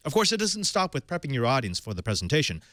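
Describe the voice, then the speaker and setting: A man hosting a tech podcast, reading a sponsor spot and then welcoming and questioning a guest in a lively way. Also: medium pitch, fast, dry recording, studio quality. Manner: animated, leading questions with fillers. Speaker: podcast host. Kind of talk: tech show hosting and ad read.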